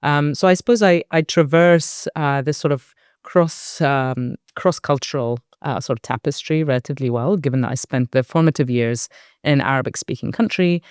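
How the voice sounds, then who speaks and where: A woman in her forties from Iraq, a company board director, talking reflectively as a guest in an interview, measured and thoughtful with soft fillers. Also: deep voice, clean tone, no echo, studio quality.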